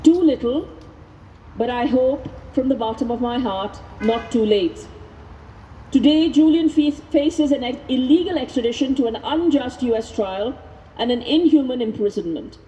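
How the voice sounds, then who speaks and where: A woman reading a speech aloud at an outdoor vigil, speaking into a microphone in a measured, deliberate way. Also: high-pitched, warm tone, dull audio. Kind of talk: public speech read aloud at a rally. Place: outdoors at a vigil by prison gates. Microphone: handheld microphone. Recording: noisy outdoor PA with long echo.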